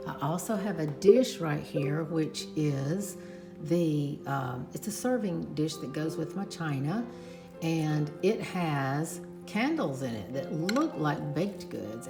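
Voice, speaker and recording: A woman giving a slow, detailed home tour of her dining room decorations, with frequent fillers as she describes each piece. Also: low voice. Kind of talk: casual home tour narration. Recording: echoey room with music behind. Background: music playing from a smart speaker.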